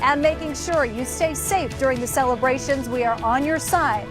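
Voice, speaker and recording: Live field reporter, female, American, high pitch, music clearly behind her. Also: normal speed.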